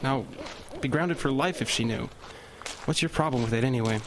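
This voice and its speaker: A man's voice: mid-range voice, normal speed, a crowd in the background, natural voice. An actor playing a cocky, sarcastic older teenage brother who teases his sibling. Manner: sarcastic, teasing.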